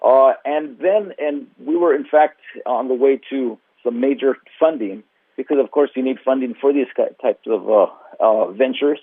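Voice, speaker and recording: Man talking haltingly over a phone line. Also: medium pitch, husky, no room sound. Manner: halting, many fillers and restarts. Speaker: museum marketing director, guest. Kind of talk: radio interview answer.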